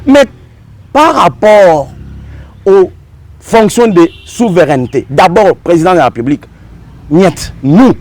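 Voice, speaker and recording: A man's voice: sits high, animated, a little slow, long pauses, darkish tone. A man with a Congolese accent arguing insistently as an interview guest, repeating phrases and posing rhetorical questions. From Democratic Republic of the Congo. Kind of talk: political and legal argument in a TV interview.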